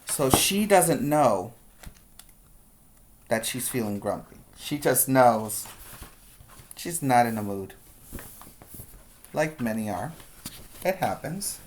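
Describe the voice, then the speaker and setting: Preschool teacher for a read-aloud: slow pace, emphatic storytelling, explanatory asides to small children. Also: mid-range voice, big pitch swings, slight background noise.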